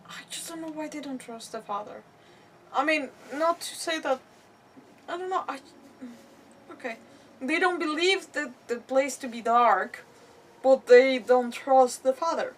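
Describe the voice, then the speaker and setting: A woman doing casual livestream game commentary, rambling, expressive and often interrupting herself. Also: sits high, a little slow, pauses often, far from the mic, a little hiss or hum.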